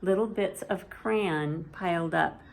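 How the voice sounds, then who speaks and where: Slow-paced woman reading a bedtime story. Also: on the low side, gravelly. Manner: measured, expressive read-aloud.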